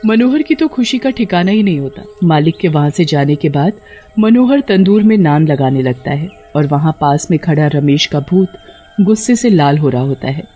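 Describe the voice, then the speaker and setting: A man's voice: on the high side, animated, moderate pace, dark tone, muffled recording. A man narrating a scripted story as a storyteller. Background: music.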